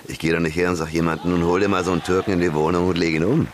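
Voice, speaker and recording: An actor playing a slick, self-assured red-light boss in a German radio drama, jovial and expansive with menace underneath. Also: male voice, low voice, steady tone, very fast, slight background noise, natural voice. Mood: evasive, smug.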